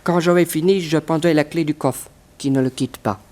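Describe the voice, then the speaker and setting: An actor playing a cold, methodical conspirator in a 1960s French radio play, speaking deliberately. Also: male voice, on the high side, steady tone, darkish tone, close to an everyday voice. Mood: cold, secretive. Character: cold, methodical male conspirator plotting a robbery.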